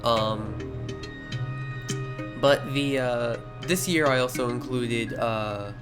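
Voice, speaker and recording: A man's voice: medium pitch, steady tone, takes it slowly. A teenage male talking casually and rambling, often correcting himself, as he narrates a video retrospective. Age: teens. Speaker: video creator narrating their early animations. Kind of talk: casual voice-over commentary. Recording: music behind the voice. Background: background music.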